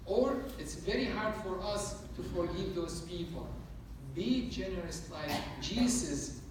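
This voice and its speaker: A man's voice: high-pitched, expressive, measured pace, a little mellow, far from the mic. Man, priest, earnest sermon, very echoey church, faint hum behind. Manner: earnest, repetitive preaching cadence.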